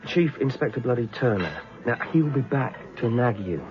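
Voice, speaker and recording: An actor playing a worldly, dogged British police detective in a radio drama, asking terse, blunt questions with dry humour. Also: male voice, medium pitch, lively intonation, moderate pace, muffled recording, over music, lightly acted. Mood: pressing, terse.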